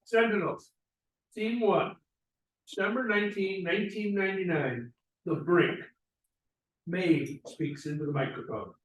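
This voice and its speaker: A man's voice: high voice, unhurried, long pauses. A man reading out stage directions at an informal script table read. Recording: echoey room, distant mic.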